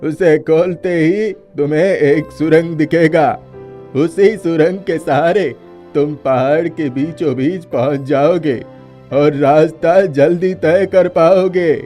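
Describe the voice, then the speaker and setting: Casting: man as elderly cave-dwelling sage, kindly, measured, guiding, slightly high pitch, animated fairy tale. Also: soft background music. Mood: calm advising. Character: kindly old mountain hermit, advising a young prince. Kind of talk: cartoon fairy-tale voice acting.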